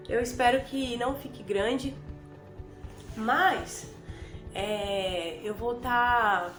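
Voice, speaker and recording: A woman's voice: a little high, echoey room. A Brazilian Portuguese woman with a cold giving an unscripted personal testimony, hesitant and full of fillers as she talks to the camera. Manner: hesitant, filler-heavy, repeats herself. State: has a cold, sniffling. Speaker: vlogger giving a conversion testimony. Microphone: camera and phone.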